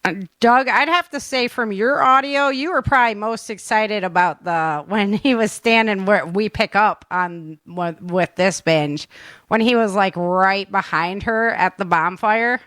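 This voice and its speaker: A woman's voice: medium pitch, unhurried, fairly bright tone, no echo. A woman co-hosting a casual online chat, speaking informally and enthusiastically with plenty of slang. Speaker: co-host and audio drama producer. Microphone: online call.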